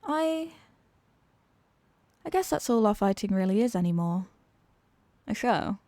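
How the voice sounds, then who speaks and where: A woman acts out a female supervillain in a comedic audio roleplay. She is theatrical and sarcastic, an exasperated schemer tired of being evil. Her mid-pitched voice sits close and dry, with no room around it. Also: big pitch swings, unhurried, mellow, dry recording. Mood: resigned griping. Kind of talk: comedic ASMR roleplay monologue.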